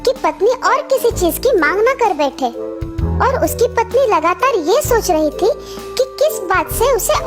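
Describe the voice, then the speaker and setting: Very high-pitched, lively female storyteller. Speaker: narrator. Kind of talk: scripted children's story narration. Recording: music behind the voice.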